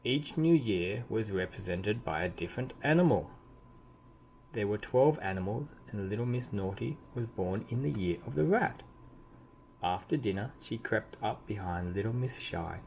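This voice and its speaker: A man with a fairly low voice reads a picture book aloud for children. His delivery is expressive and deliberate, stretching out key words for emphasis. There is some noise behind the recording. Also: rough recording. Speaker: children's storybook reader. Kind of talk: expressive read-aloud storytelling. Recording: clearly audible background noise.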